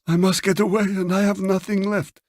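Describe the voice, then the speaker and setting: Man voicing an anxious, high-pitched pleading woman. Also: level tone, fairly quick, no echo, clean studio sound, natural voice. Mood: desperate, insistent. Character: anxious, pleading foreign woman visitor, haunted and desperate.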